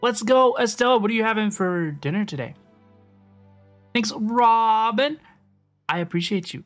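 A woman's voice: fairly high pitch, animated, moderate pace. A woman livestreaming a video game, chatting casually to viewers and often repeating her phrases. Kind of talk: casual livestream chat over gameplay. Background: game music.

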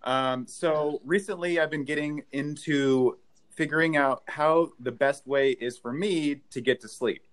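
Man doing a deadpan stand-up set. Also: fairly high pitch, a little slow. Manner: deadpan one-liners. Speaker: stand-up comedian. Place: living room at home. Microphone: webcam, video call framing.

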